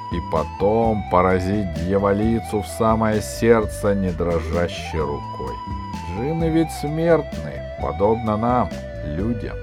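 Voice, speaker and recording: Storybook role, male reader: weary, haunted-sounding husband giving a solemn confession, low and unhurried. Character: grim, weary divorced husband of a she-djinn. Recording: music behind the reading.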